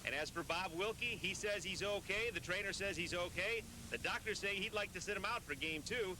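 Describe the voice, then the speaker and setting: Fast-talking male TV sports reporter, noisy tape. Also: sits high. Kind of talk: scripted sports highlight narration. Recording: noisy 1990s local TV newscast tape.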